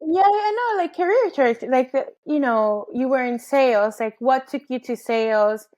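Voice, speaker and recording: A woman with a fairly high voice hosts a relaxed interview. She asks curious questions and lets them ramble into follow-ups. Her tone stays conversational throughout. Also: normal speed. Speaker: podcast host.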